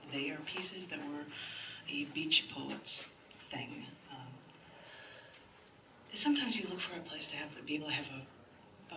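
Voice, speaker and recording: A woman's voice: deep voice, noisy background. Female poet and open mic host, live readings with anecdotal chat; distant mic, echoey room. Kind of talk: live poetry reading with chatty, anecdotal introductions. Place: large indoor bookstore, live event.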